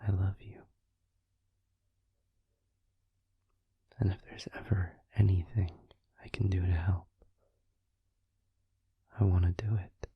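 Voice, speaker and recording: Man playing a low, breathy whispering vampire boyfriend. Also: little pitch movement, no echo, phone-quality sound, heavily acted. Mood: tender, devoted. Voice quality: breathy, hushed, mostly whispered. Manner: soothing, tender, slow and reassuring. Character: gentle vampire boyfriend comforting his partner. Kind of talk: intimate whispered comfort roleplay audio. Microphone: close microphone.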